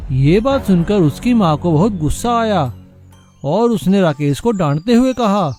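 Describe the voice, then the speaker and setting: A man's voice: high-pitched, expressive, unhurried, a little mellow. A man reading scripted narration for a moral story. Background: music.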